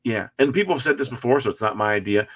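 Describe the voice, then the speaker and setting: A man in his forties, a guest filmmaker, talking movies with emphatic enthusiasm and quick restarts. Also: medium pitch. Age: 40s. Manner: enthusiastic, emphatic, repeats words when excited. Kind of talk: casual live-stream chat.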